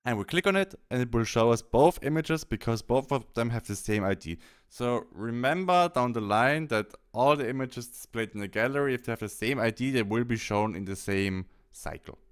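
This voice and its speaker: Male tutorial narrator, smooth and clean voice, animated intonation, step-by-step instructional delivery. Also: medium pitch, normal speed, few pauses. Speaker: tutorial presenter. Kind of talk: instructional software walkthrough narration.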